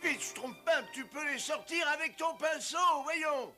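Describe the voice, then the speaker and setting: A gallant little cartoon Smurf speaks in a very high register. The delivery is earnest and dramatic, over clearly audible music. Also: male voice, unhurried. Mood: urgent. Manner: dramatic, exclamatory. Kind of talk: animated cartoon dub. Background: background music.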